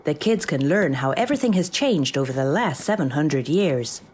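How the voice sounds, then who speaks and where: A woman playing a brisk, dry-witted AI assistant in a comic sci-fi audio drama. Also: sits low, expressive, darkish tone, slight background noise. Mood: calm, wry. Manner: crisp, dry, businesslike.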